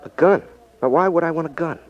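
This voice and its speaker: Guy doing cocky wry magician detective, old 50s radio show, talks fast, kinda muffled recording. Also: fairly high pitch, close to an everyday voice. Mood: brisk, firm. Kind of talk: 1950s radio drama acting.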